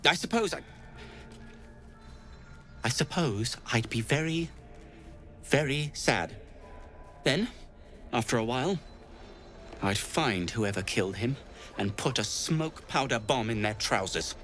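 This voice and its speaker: A bright-voiced, animated gnome tinkerer. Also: male voice, medium pitch, slow pace, pauses often, soft background music. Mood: sombre, vengeful. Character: curmudgeonly, theatrical male gnome alchemist and adventurer. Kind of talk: video game character acting.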